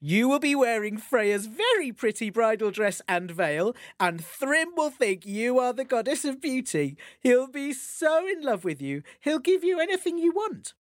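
Loki the trickster god, sly and amused, coaxing another god into a scheme in a lively, swooping voice from a children's storybook reading, as a storyteller puts him on. The sound is close and dry. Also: mid-range voice, measured pace, few pauses, no echo, voice pushed up. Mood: persuasive.

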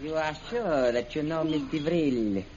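An actor playing an imperious, coldly threatening prince in an old radio serial, speaking formally and deliberately in a husky voice. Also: male voice, fairly high pitch, a loud crowd, natural voice. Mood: menacing. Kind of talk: 1940s radio serial acting. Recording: dull, lo-fi old radio recording.

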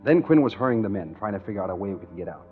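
Dull old radio recording. hard-boiled American schemer, lowish voice, confiding first-person storytelling, 1940s crime drama. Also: male voice, sing-song, very fast, faint music behind. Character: tough scheming American engineer narrating his own swindle.

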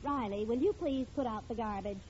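A woman's voice: on the high side, brisk, natural voice. An actress playing a wry, sarcastic wife and mother in an old-time radio sitcom, dry and needling. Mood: exasperated. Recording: muffled, very noisy vintage radio recording.

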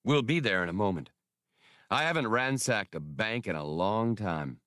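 Man playing a suave, animated, droll gunman. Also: fairly low pitch, normal speed, no room sound, clean studio sound. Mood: droll, relishing. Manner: formal, droll, polished. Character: suave, urbane male gunman with dry wit.

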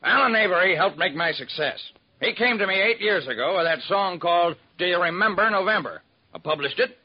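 High, husky, brash music publisher. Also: male voice, lively intonation, fairly quick, exaggerated voice. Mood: earnest. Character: brisk, self-made male music publisher, colloquial and eager. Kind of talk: old-time radio drama acting. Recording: muffled vintage radio recording.

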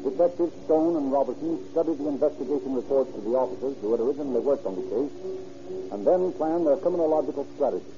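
A man's voice: mid-range voice, quick pace. A man narrating a crime drama in a scripted vintage radio style. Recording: muffled old radio recording with music.

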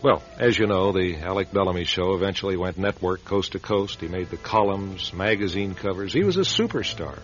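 An actor playing a wry, wistful American man who narrates a radio drama in a reflective, halting, confiding way. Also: sits low, animated. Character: wistful, wry man narrating his charismatic friend's rise. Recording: dull radio recording with loud music.

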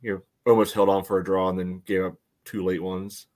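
A man's voice: low-pitched, flat delivery, fairly quick, darkish tone. A man co-hosting a casual sports podcast, analysing in a hesitant way with lots of verbal padding. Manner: hesitant, filler-heavy phrasing with frequent "you know". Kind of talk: casual soccer analysis chat.

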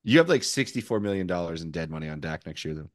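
Hoarse man teasing his co-host. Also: deep voice, animated, fast, dry recording, studio quality. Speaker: podcast co-host. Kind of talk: casual sports chat.

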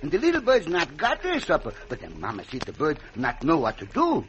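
An actor playing a kindly, simple Mexican cowboy sidekick in an old radio western, in accented broken English with stammered repeats. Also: male voice, fairly high pitch, wildly swooping pitch, fairly quick, fairly bright tone. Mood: soothing, tender. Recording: muffled, noisy old radio recording.

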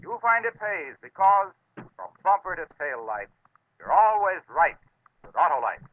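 A man's voice: high voice, very animated, a little slow, slight background noise. A man announcing a 1950s radio commercial with emphatic, polished salesmanship. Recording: tinny old radio recording.

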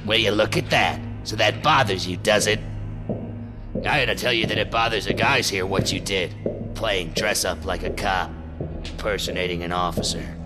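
Ator fazendo detetive cínico de voz grave, americano. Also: male voice, on the low side, normal speed, natural voice. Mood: taunting. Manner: sardonic, needling, blunt. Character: gruff, cynical, taunting male police detective. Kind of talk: audio drama acting. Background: loud music.

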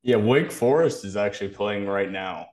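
A man with a fairly low voice co-hosts a sports podcast. He gives casual analysis in an analytical way, qualifying his points as he goes. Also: moderate pace, a little mellow.

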